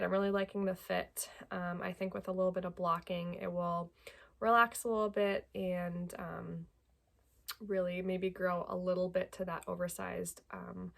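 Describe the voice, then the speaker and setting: A woman's voice: medium pitch, gravelly. A young woman with a stuffy nose from a cold, chatting casually about her knitting as a podcast host, with lots of ums. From Greater Portland, Maine. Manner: chatty, many ums, friendly. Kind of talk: casual chat to camera.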